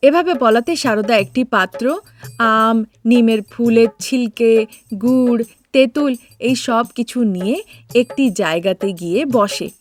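A woman's voice: fairly high pitch, unhurried, soft background music. A woman narrator reading scripted storybook narration for a cartoon tale.

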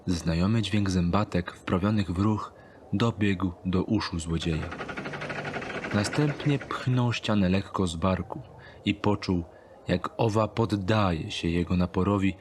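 A man reads a dark thriller story as an audiobook narrator. His voice sits fairly low and moves very slowly, with a deliberate, measured delivery. Loud atmospheric ambience with ringing tones runs behind him. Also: noisy background. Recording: strong ambient sound behind the voice.

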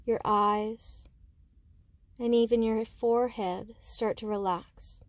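A woman guiding a meditation with slow, soothing instruction, speaking gently and unhurriedly in short phrases with pauses. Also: on the high side, fairly even intonation, dry recording. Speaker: meditation guide.

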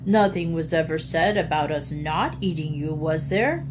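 A woman voicing an ancient male alligator, pompous, drawling and calmly menacing in a grandly polite way. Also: deep voice, normal speed, noisy background, natural voice. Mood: smugly polite.

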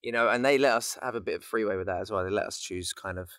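A British man in his late twenties with a bright, sharp voice, recorded very dry. He chats casually as a guest, rambling through jokey anecdotes. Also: fairly low pitch, quick pace, no room sound. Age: late 20s. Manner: joking, rambling storyteller. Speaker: podcast guest, voice actor. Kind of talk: casual chat.

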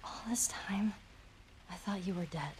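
A woman's voice: fairly low pitch, unhurried, slight background noise, lightly acted. A woman acting a teenage girl in a video game, American, blunt and sarcastic yet tender-hearted, talking naturalistically. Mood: hurt, wary.

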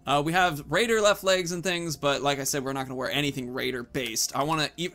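A man's voice: fairly high pitch, fast talker, bright, forceful tone. A man in his thirties giving casual let's play commentary, thinking aloud as he explains his choices. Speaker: gaming video host. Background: game music.

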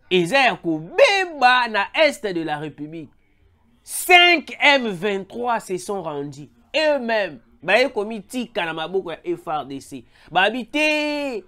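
Voice-over news commentator, Congolese French accent, stuffy with a cold, animated, keeps calling out to the audience. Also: mid-range voice. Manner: animated, repeatedly calls out to viewers, mixes in Lingala. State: has a head cold. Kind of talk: emphatic news commentary to viewers. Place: voice-over over a still image.

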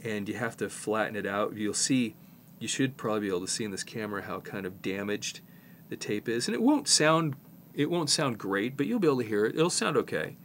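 A man in his fifties with a slight head cold, presenting a casual hands-on repair tutorial in an informal, halting way as he works. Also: fairly low pitch, very animated, fairly bright tone, slight background noise. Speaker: presenter, tape repair technician.

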